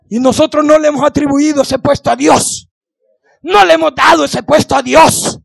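A middle-aged man preaching an impassioned sermon into a handheld microphone, exhorting and repeating phrases for emphasis in a strained, gravelly voice. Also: extremely high pitch, no echo. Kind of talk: impassioned Pentecostal-style sermon. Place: indoor church hall, on the platform. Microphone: handheld microphone held close.

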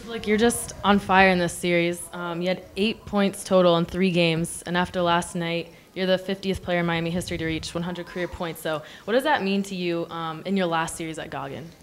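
A woman's voice: lowish voice, steady tone, fairly quick, hardly any pauses, slight background noise. An American woman interviewing players and a coach at a post-game press conference, asking polite questions with a few ums. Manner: polite, with um fillers.

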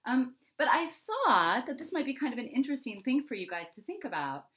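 A middle-aged woman speaking to young children in an expressive, conversational way, with emphatic pauses, as an author reading and explaining. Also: high voice. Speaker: children's author reading her book and giving a writing prompt. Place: small indoor home room.

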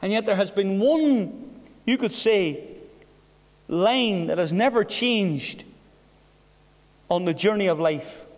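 A middle-aged man preaching a sermon behind a pulpit, earnest, with rhetorical questions and hushed passages. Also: high-pitched, animated, slow pace, pauses often. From Northern Ireland. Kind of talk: sermon with personal story. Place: church hall behind a wooden pulpit. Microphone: pulpit mic from a far camera. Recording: echoey church hall.

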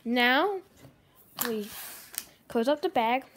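A woman hosting a homemade puppet cooking show at a kitchen table, talking in a hesitant, halting way with many ums. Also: a little high, animated, fairly bright tone, slight background noise. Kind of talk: improvised puppet comedy.

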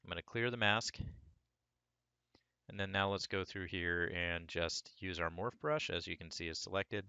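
Low, gravelly man getting over a cold. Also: moderate pace, no echo, highs cut off. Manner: relaxed, talks through each step as he works. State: hoarse from a cold, voice cracking. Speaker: 3D sculpting instructor. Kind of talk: screen-recorded software tutorial.

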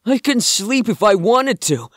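A man's voice: sits high, sing-song, measured pace, a bit bright, no echo, studio quality, exaggerated voice. A man playing a curious, cheeky and stubborn young man in an audio drama.

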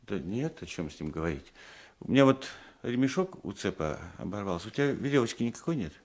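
An actor playing a meek old peasant husband in a radio play, speaking in a halting, subdued way. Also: male voice, on the low side, very animated. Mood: meek, hesitant. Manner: halting, subdued, mumbling repetitions. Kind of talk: 1980s radio play acting.

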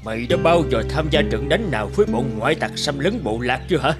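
A man's voice: medium pitch, lively intonation, quick pace, music in the background, natural voice. A man voicing an arrogant village warrior in a cartoon fable, mocking, boastful and scornful. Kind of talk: animated fable voice acting.

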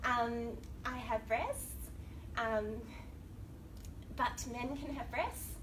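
A woman's voice: fairly high pitch, extremely slow. A woman with an Australian accent giving a conference talk with a cold, chatty and laughing often, bantering with the audience. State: has a cold. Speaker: academic panel speaker. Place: lecture room. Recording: distant, noisy room recording.

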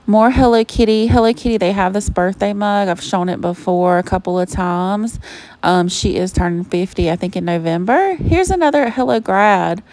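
A woman from Georgia with a Southern accent, chatting warmly to viewers as she browses a store on a handheld phone. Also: mid-range voice, normal speed, no echo, low-quality recording. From Savannah, Georgia, US. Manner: gushing, chatty, addresses viewers as y'all. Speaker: shopping vlogger. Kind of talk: casual shop-with-me chat. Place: inside a retail store. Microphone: handheld phone camera.

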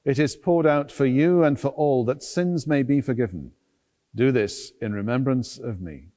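A middle-aged man, a priest, reciting solemn liturgical prayer in measured, deliberate phrases inside a large church. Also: mid-range voice, warm tone. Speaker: priest, celebrant at a church service. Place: large stone cathedral, at the altar. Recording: long reverb of a large church.

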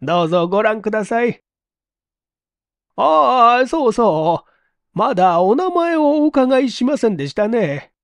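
A man's voice: very high voice, big pitch swings, unhurried, dry recording, pitched above the performer's own voice, heavily acted. A man voicing a kindly, polite elderly landlady in a Japanese audio story. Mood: polite, chatty. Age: older.

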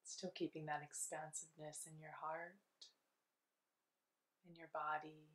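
Low, even-toned woman guiding meditation. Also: poor audio. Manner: soothing, unhurried, gently instructive. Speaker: meditation guide. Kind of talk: guided meditation.

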